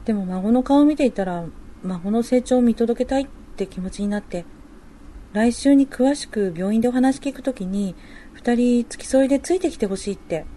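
A woman playing a working young mother and wife in a radio drama, talking softly and conversationally as she thinks aloud. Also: on the high side, normal speed, a little hiss or hum, lightly acted. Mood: concerned. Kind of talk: amateur radio drama dialogue.

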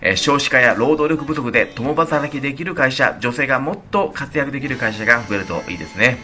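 A man's voice: medium pitch, fairly quick, pushed and bright, faint music behind. A man co-hosting a radio show as a band leader, talking in a conversational style.

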